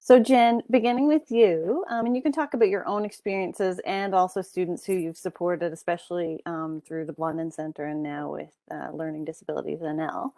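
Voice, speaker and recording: Soft-spoken woman moderating a panel. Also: fairly low pitch, steady tone, fairly quick, hardly any pauses, no room sound, clean studio sound. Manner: friendly, filler-heavy introductions. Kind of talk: hosting an online panel.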